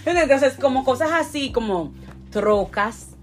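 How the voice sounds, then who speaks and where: A young Dominican woman with a Dominican accent, co-hosting a casual podcast chat, lively and laughing often. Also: high voice, measured pace, over music. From Dominican Republic. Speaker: podcast co-host.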